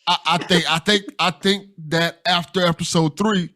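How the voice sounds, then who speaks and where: A man's voice: sits high, fairly even intonation, normal speed, pushed and bright. A man hosting a casual podcast chat, often repeating his first words as he starts a sentence. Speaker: podcast host, interviewer.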